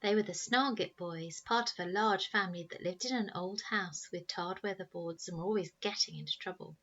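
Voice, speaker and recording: A woman reading a children's story aloud in an expressive storytelling style, like a teacher reading to pupils. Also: on the low side, fairly quick, lo-fi sound.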